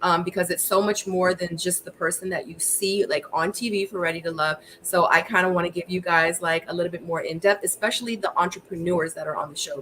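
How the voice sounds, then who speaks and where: A woman hosting her live stream, greeting the chat and hyping up her guest. Her voice is bright and clear, with a faint background hiss. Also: on the low side, poor audio. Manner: chatty, hypes up the chat. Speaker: livestream interview host. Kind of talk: casual livestream chat.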